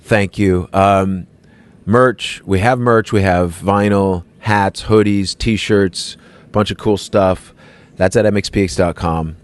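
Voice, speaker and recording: A man's voice: deep voice, fairly even intonation, takes it slowly. A man hosting his own podcast, talking in a loose, rambling, self-mocking way. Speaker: podcast host. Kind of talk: casual podcast chat.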